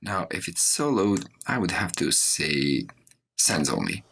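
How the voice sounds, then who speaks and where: A man giving a software tutorial as an audio course instructor, explaining things step by step. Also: sits low, big pitch swings, measured pace, creaky, a little hiss or hum. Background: mouse clicks and computer keyboard typing.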